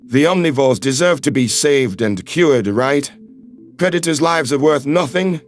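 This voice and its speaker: A man voicing a calm, earnest human man who speaks in firm, measured, reassuring tones. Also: fairly high pitch, voice pushed down. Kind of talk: audiobook character voice.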